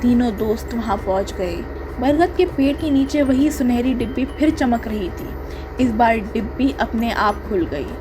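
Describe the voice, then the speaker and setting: A woman's voice: on the high side, normal speed, music in the background, poor audio. A woman reading a scripted children's story as the storyteller.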